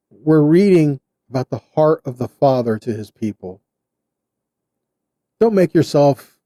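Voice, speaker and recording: A man giving sermon-style Bible teaching in short, deliberate phrases with an earnest, exhorting manner. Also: medium pitch, warm tone, dry recording, studio quality.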